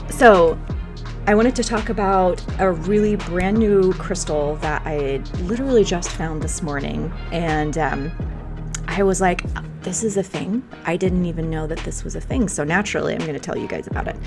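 Brief: a woman with a deep, slightly hoarse voice, chatty podcast host, faint music behind. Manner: chatty, rambling, reads aloud from a website. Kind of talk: casual spiritual podcast talk.

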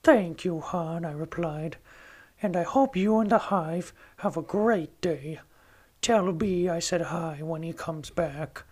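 A man doing an impression of a gentle, simple-minded cartoon bear who loves honey, reading a diary aloud. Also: a little high, slow, pitched above the performer's own voice. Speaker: podcast host. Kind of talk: amateur storybook impression.

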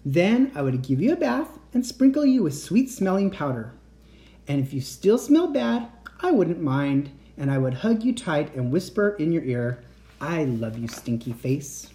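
A man voicing a gentle mother reassuring her child at bedtime. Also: fairly high pitch, very animated, unhurried. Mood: tender. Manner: soothing, tender reassurance.

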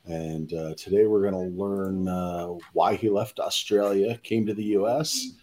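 A man's voice: low voice, sing-song, measured pace, mellow. A man hosting a podcast, introducing his guest in a loose way with lots of "uh". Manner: loose, filler-heavy introductions with "uh". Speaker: podcast host.

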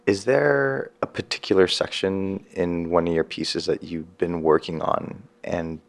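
A man's voice: low voice, fairly even intonation, measured pace. A man acting as interviewer, asking friendly, curious follow-up questions in a casual chat.

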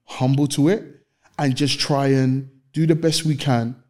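A man's voice: medium pitch, fairly even intonation, a little slow, darkish tone. A man with an East London accent chatting casually as a podcast guest, earnest and slangy, repeating phrases for emphasis. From East London, England.

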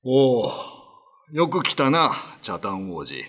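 A man's voice: mid-range voice, very animated, slow, a bit bright, exaggerated voice. A man playing a troubled, resolute Ryukyuan king in a radio drama, speaking in stately, archaic and commanding phrases. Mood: regal welcome. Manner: stately, archaic, imperious speech.

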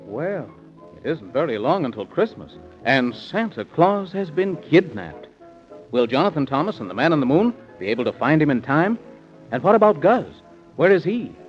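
Animated high-voiced vintage radio announcer man. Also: moderate pace. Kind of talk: dramatic 1930s radio serial announcing. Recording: dull vintage radio recording, faint music behind. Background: faint music.

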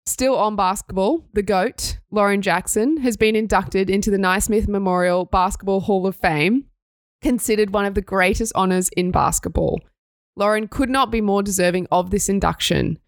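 A woman's voice: medium pitch, moderate pace, no room sound. A young Australian woman co-hosting a sports news podcast, reading stories and chatting in an upbeat way. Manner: upbeat, reads news items then chats. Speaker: podcast co-host.

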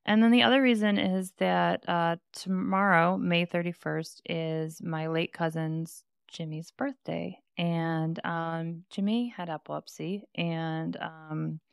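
A woman's voice: lowish voice, steady tone, slow, creaky, few pauses, clear, resonant tone, no echo, clean studio sound. A woman co-hosting a podcast, talking in a chatty, bubbly way with lots of fillers. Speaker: podcast co-host. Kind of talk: casual podcast chat.

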